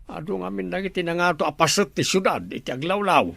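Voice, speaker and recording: An actor playing a dutiful male subordinate with a gravelly voice in a Filipino radio drama. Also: sits high, fast, sharp tone, dry recording, full character voice. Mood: deferential. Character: dutiful male subordinate, deferential to his lady boss.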